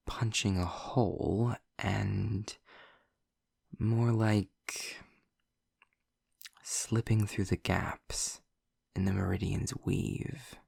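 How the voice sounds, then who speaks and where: Man playing a slow, gravelly, sardonic demon. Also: a little mellow, no echo, clean studio sound, full character voice. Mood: measured, intent. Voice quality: gravelly, husky low voice with breathy edges. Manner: sarcastic, deliberate, drawn-out phrasing. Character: snarky, sardonic male demon trapped in hell. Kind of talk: scripted audio roleplay addressed to the listener.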